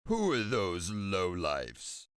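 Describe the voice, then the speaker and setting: A man's voice: low voice, huge pitch swings, extremely slow, clear, resonant tone, no room sound, studio quality, heavily acted. A man playing a menacing, sneering bandit henchman in a video game, on a noisy recording. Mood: contemptuous. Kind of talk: dramatic video game cutscene acting.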